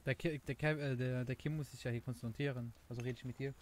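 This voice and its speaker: A man's voice: medium pitch, fairly even intonation, quick pace, darkish tone, a little hiss or hum. A young man from Luxembourg with a Luxembourgish accent, chatting casually while streaming a game, rambling and hunting for words. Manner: rambling, laughing, self-mocking, searches for words. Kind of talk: casual gameplay stream chat.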